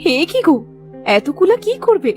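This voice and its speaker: A woman acts out a village wife in a children's cartoon tale. She is curious and supportive, speaking in a high, very expressive voice with faint music behind. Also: moderate pace. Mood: surprised. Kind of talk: children's cartoon story acting.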